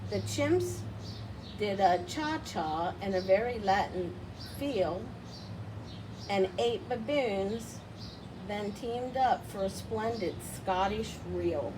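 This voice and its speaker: Slow-paced woman reading a children's story. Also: mid-range voice, animated. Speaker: storytime reader. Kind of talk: read-aloud children's picture book. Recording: distant mic, loud background noise.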